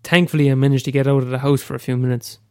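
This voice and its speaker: A man's voice: medium pitch, flat delivery, very fast, mellow, smooth, dry recording, clean studio sound. A young Irish man with a head cold, reading aloud a short story he wrote, after a hesitant casual introduction. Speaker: amateur storyteller.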